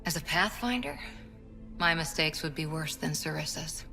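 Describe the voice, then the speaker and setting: A woman's voice: low voice, expressive, moderate pace, vocal fry, pushed and bright, long reverb tail, faint music behind, full character voice. An actress playing a principled, self-doubting woman soldier in a video game cutscene. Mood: downcast, confiding.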